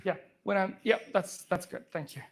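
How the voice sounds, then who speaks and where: A man's voice: sits high, vocal fry. Chatty man from Afghanistan giving a live demo. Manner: talkative, fast-restarting, upbeat and informal. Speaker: software engineer presenting a live demo. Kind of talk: live tech demo talk to a room audience. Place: event room with a live audience. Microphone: handheld or clip microphone.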